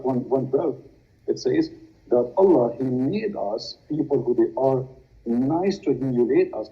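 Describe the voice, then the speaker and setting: Man on a tinny, phone-like line. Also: medium pitch. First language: Arabic. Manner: hesitant, halting, many fillers. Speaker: guest. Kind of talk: casual discussion.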